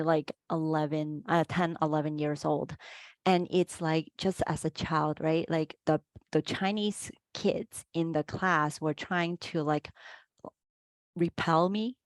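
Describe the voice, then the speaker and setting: A woman's voice: deep voice, dry recording. A middle-aged woman from Hong Kong, a life coach hosting a casual interview over a video call, chatty with many fillers and restarts.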